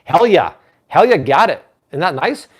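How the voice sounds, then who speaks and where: A man's voice: a little high, big pitch swings, moderate pace, slightly rough. A man in his thirties hosting a live cooking stream from a home kitchen, chatting with viewers and reading their comments. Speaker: cooking show host.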